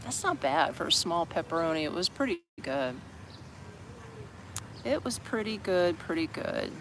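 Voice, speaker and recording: A man's voice: on the high side, big pitch swings, a little slow. Chatty American man live-streaming outdoors. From Orlando, Florida, USA. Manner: rambling, chatty, greets viewers. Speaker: expat livestreamer. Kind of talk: casual live stream chat. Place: outdoors on steps in a busy city park. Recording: noisy outdoor city street. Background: street and traffic noise.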